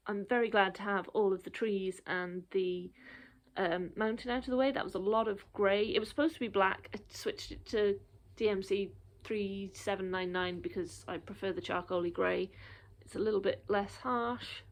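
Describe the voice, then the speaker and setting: Chatty woman, slightly gravelly. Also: medium pitch. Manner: chatty, rambling with many ums. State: out of breath. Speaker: cross stitch vlogger. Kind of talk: casual show-and-tell.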